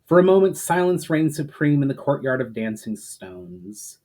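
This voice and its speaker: A man speaking as an author and storyteller, moving between casual, hesitant introduction and measured dramatic narration. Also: fairly high pitch, sing-song, dark tone. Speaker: author reading own book. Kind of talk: casual chat intro, then dramatic audiobook-style narration.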